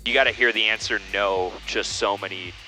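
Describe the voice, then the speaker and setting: A man's voice: fairly low pitch, steady tone, a little fast, bright, forceful tone, faint music behind, low-quality recording. A man hosting a casual, playful interview, speaking on a headset in a small plane. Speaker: interview host. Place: small plane cabin in flight.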